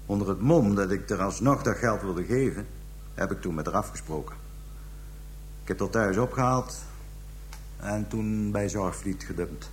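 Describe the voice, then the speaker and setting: An actor in a radio drama playing a cold, matter-of-fact murderer confessing without remorse. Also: male voice, fairly low pitch, moderate pace, pauses often, slight background noise, lightly acted. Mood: matter-of-fact, smug. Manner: casual, unrepentant, matter-of-fact.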